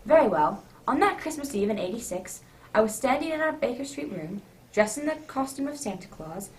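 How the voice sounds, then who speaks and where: A woman playing a genial, easily flustered older English gentleman narrator in a radio drama, with avuncular, old-fashioned exclamations. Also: on the high side, sing-song, brisk. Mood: storytelling. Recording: distant microphone.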